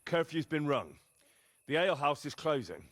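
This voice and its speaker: Earnest, stubborn peasant youth, game drama. Lively intonation, dry sound. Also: male voice, on the high side, moderate pace, dry recording. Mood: firm, deferential. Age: young adult. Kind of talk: cinematic game acting.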